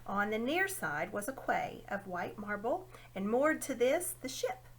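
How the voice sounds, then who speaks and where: A woman giving an expressive read-aloud narration of a children's fantasy story. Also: mid-range voice, measured pace. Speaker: storybook reader.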